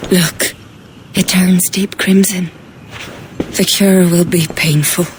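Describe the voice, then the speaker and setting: A mystical female healer in a fantasy game. She talks slowly and with grave command, as if reciting a rite. Faint ambience lies behind her. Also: on the low side, level tone, slight background noise. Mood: grave, instructing. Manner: slow, incantatory, commanding. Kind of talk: dramatic video game cutscene acting.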